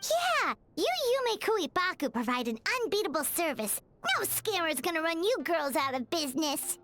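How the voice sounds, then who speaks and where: A woman voicing a tiny, excitable female companion who speaks of herself in the third person, in a game. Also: very high-pitched, moderate pace, slight background noise, heavily acted. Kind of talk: game voice acting. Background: soft game music.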